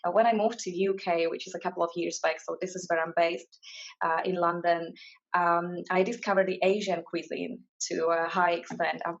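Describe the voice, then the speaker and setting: A woman's voice: on the low side, steady tone, normal speed, highs cut off. A woman from Slovakia with a Slovak accent, a coach answering interview questions thoughtfully with plenty of fillers and restarts. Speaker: guest, mindfulness and career coach.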